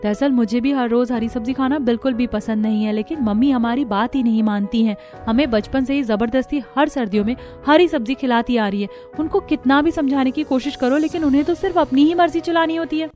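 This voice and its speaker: A nosy young sister-in-law from a family cartoon , acted by a woman. Her voice is very high, and she teases and bargains with insistent questions. Music plays underneath. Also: fairly quick, hardly any pauses, mellow, natural voice. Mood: complaining. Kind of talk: Hindi family cartoon dialogue.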